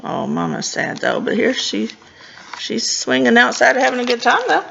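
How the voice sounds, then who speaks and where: A woman reads a children's storybook with lively, swooping pitch. Her voice is bright and heavily creaky, and she drops in chatty asides about the pictures. The recording is a little dull and lo-fi. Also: moderate pace, a little hiss or hum. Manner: expressive, stumbling over words and commenting as she goes. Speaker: librarian reading a storybook to children. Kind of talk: read-aloud storytime with casual asides on the pictures.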